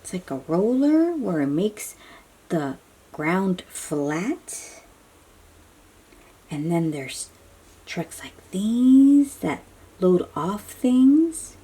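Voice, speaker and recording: A woman's voice: lowish voice, sing-song, very slow, long pauses, dark tone, breathy edge. A woman reading a picture book aloud for young children, explaining in simple phrases and putting questions to the listener.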